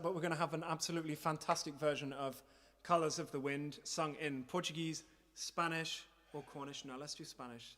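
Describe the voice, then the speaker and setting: Higher-voiced man hosting casually. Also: a bit bright. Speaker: musician hosting a livestream concert. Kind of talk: casual chat between songs, addressing online viewers. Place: living room.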